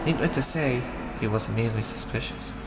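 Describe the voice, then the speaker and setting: A male narrator tells a dark children's tale in a mid-pitched voice, pausing deliberately for drama. His voice rings with long reverb, and music plays loudly behind him. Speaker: storyteller, narrator. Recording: echoey, reverberant hall sound. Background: loud music.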